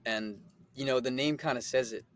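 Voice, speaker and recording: A man's voice: mid-range voice, moderate pace. A man in his twenties from the Philippines, a guest actor giving easygoing, rambling interview answers with many fillers.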